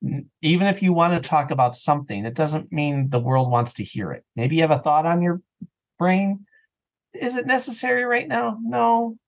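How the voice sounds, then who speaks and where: A man's voice: on the high side, normal speed, creaky. A man speaking as an interview guest on a podcast in a casual, opinionated, emphatic conversational way. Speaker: interview guest, audio drama writer and actor.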